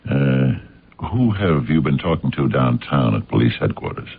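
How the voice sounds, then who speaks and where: An actor playing a gruff, wary sleuth in a radio drama, with halting, probing questions and a very deep, gravelly voice. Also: male voice, very animated, full character voice. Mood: probing, suspicious. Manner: halting, pressing questions with many ums. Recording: muffled lo-fi radio drama recording.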